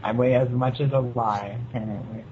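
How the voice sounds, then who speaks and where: Young male podcast co-host, average-pitched voice, casual banter, dull recording with faint noise. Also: steady tone, normal speed, a little mellow.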